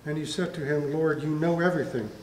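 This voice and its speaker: A man's voice: a little high, fairly even intonation, fast, darkish tone. An older man reading scripture aloud in church. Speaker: scripture reader. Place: church room at a wooden lectern. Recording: echoey church hall, distant mic, faint noise.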